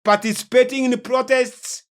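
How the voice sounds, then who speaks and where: A man from Kenya with a Kenyan accent, preaching in a solemn, deliberate lecture style with emphatic stresses. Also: very high voice, fairly even intonation, fairly bright tone. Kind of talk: sermon-like religious lecture.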